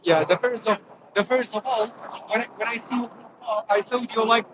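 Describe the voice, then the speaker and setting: Young man, Kurdish accent, very high pitch, halting repeated phrases, casual chat, loud passing traffic. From Kurdistan region of northern Iraq. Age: young adult. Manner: halting, repetitive phrase restarts. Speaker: passer-by student being interviewed. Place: outdoors on a motorway bridge. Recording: loud outdoor traffic noise. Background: passing vehicles.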